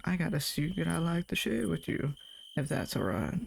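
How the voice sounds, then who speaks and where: A man acting folksy friendly wanderer, gravelly fry, unhurried, audio drama, faint night insects behind. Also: fairly high pitch, sing-song, background noise, exaggerated voice. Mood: friendly, reassuring. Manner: slow, folksy, familiar, chatty. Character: folksy, drawling friendly drifter man with unnatural features. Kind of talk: scripted character monologue to the listener.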